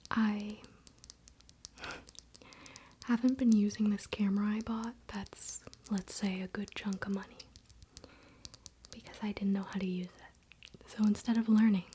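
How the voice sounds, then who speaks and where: A woman whispering breathily in an unhurried, confiding ASMR style, speaking directly to the viewer. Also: medium pitch, flat delivery, dark tone, slight background noise. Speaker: ASMR video creator.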